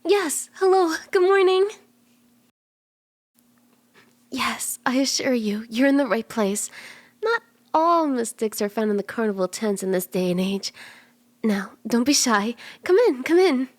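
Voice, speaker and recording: A woman voicing a cute, friendly kitsune tarot mystic in an ASMR roleplay, gentle, playful and unhurried, soft-spoken and often slipping toward a whisper. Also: on the high side, animated, no echo, natural voice. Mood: welcoming, playful. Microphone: close ASMR microphone in a dry space.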